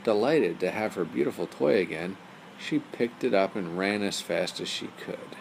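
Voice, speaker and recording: A man tells a bedtime story for young listeners. His voice is low with a gravelly creak, and the delivery is simple storytelling. Faint noise sits behind him. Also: expressive, moderate pace, rough recording.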